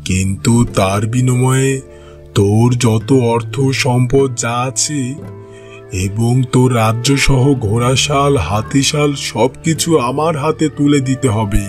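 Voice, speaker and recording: A man voicing a stern old holy man in a fairy-tale cartoon, measured, admonishing and authoritative. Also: medium pitch, soft background music, pitched below the performer's own voice, lightly acted.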